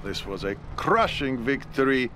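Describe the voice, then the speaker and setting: A man's voice: medium pitch, big pitch swings, measured pace, bright, forceful tone. A male actor playing a world-weary, middle-aged Soviet army major in a war game, reflective in manner. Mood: approving. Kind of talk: military video game cutscene acting. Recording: outdoor noise behind the voice.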